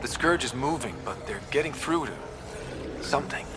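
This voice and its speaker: A man's voice: mid-range voice, animated, normal speed, noisy background, close to an everyday voice. A man playing an earnest young leader of space explorers in a video game, casual yet resolute. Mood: focused. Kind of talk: video game cutscene acting.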